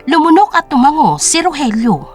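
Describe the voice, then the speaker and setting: A woman's voice: high voice, big pitch swings, normal speed, faint music behind. A woman narrator reading a scripted story in a dramatic storytelling style.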